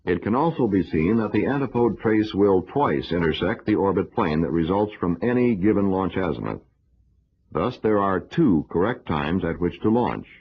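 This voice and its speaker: A man delivering scripted educational film narration in a formal, measured, instructional manner. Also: low voice, expressive. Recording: dull, lo-fi old film soundtrack.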